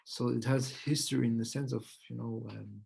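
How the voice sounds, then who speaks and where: A man born in Peru and living in Switzerland, hosting a casual podcast chat with a husky voice, often searching for words. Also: mid-range voice, steady tone, moderate pace, darkish tone. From Peru-born, lives in Switzerland. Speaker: podcast host. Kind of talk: casual spiritual conversation.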